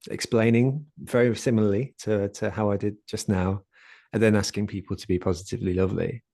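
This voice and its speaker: Soft-spoken guest, a man with a low, mellow voice, hesitant and full of fillers, over a dry video call. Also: dry recording. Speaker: guest speaker, community founder. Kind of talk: conversational talk in an online session.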